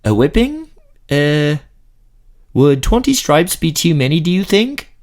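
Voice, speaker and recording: A man voicing a young female dark elf queen, earnest, hesitant and girlish, in a fantasy audio drama. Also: fairly high pitch, sing-song, unhurried, voice pushed up.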